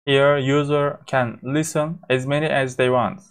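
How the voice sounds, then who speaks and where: A man with a Turkish accent, a native Turkish speaker, teaching a course by walking through a software demo, with frequent fillers and halting restarts. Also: mid-range voice, steady tone, smooth. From Turkey. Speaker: course instructor.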